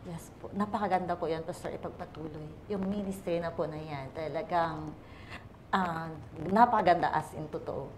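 A woman's voice: lowish voice, moderate pace, darkish tone, a little hiss or hum, low-quality recording. A middle-aged woman teacher joining a show by video call, answering politely and eagerly. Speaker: teacher guest.

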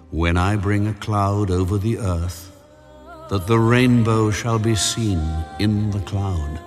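An actor playing God as a solemn, commanding deity, declaiming in stately fashion in a dramatized scripture reading. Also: male voice, low voice.

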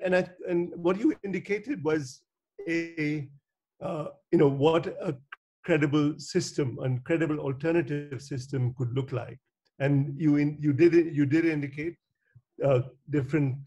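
Halting, courteous male panel moderator. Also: on the high side, warm tone. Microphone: video call.

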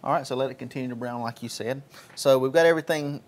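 A man's voice: medium pitch, fast talker. A man hosting a cooking show in a station kitchen, upbeat, asking leading questions and saying "wonderful". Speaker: show host, firefighter.

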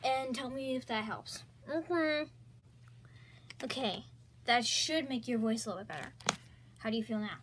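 A woman's voice: a little high, moderate pace, long pauses, a little hiss or hum, close to an everyday voice. A woman voicing a patient mother who checks her daughter's temperature and grows suspicious of her faked illness, in a comedy skit. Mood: doubtful. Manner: calm, matter-of-fact, suspicious. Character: patient, doubtful mother of two girls.

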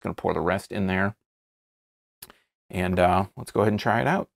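An American man in his thirties giving a casual, unscripted review to camera, halting and rephrasing as he describes things. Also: sits low, creaky, dry recording, studio quality. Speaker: beer reviewer, video host. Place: small indoor studio, seated at a table.

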